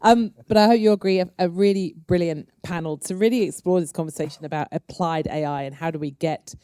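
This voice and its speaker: A woman's voice: fairly low pitch, a little fast, mellow, clean tone, dry recording. A woman with a Yorkshire English accent, moderating a panel discussion. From Yorkshire, England. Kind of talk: hosting a live panel discussion.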